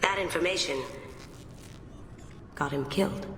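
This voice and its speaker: A confident, teasing young thief for a video game. Her voice is forward and bright, sitting a little low, with wry charm. It rings in a roomy, echoing space. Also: unhurried. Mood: wistful, serious. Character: playful, confident young female master thief. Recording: roomy reverberant sound, clear background noise.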